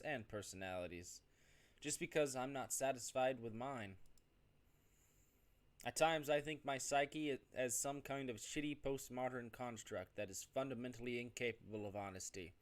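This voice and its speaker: A male gaming streamer reads visual novel narration out loud at a middling pitch. His delivery is expressive but unpolished, and he sometimes stumbles over a word and corrects himself as he plays. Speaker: gaming streamer doing a playthrough. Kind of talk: reading visual novel narration aloud with off-the-cuff reactions.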